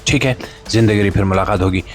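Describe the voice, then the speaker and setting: A man's voice: on the low side, fast talker. A man with a Pakistani accent hosting a satirical news show, speaking to the audience in a sarcastic, theatrical monologue.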